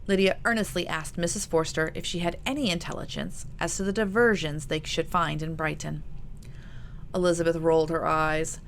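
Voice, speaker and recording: A woman's voice: low voice, gravelly, a bit bright, a little hiss or hum. A woman reading a novel aloud as its author, in a scripted storytelling style. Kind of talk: scripted fiction narration.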